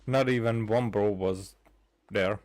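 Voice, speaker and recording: A man with a fairly low voice comments casually on a game he is playing. He rambles and hesitates as he works through his thoughts aloud. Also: steady tone, slow pace, a little mellow.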